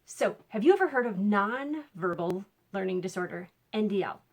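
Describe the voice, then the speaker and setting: Minnesotan woman, coach, casual and upbeat to camera, mid-pitched voice. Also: expressive, normal speed. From Minnesota, USA. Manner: chatty, upbeat, with little laughs and fillers. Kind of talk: casual direct-to-camera talk.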